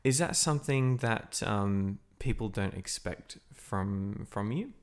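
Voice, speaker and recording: Low-voiced man hosting, halting. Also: animated. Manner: halting, many ums and restarts. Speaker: podcast host. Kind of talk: interview questions.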